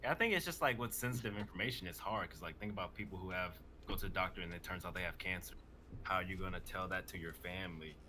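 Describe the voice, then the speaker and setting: A man's voice: deep voice, quick pace. A young man chatting casually in a group, informal and slangy. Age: young adult. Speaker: livestream panelist. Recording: noisy livestream mic with clicks.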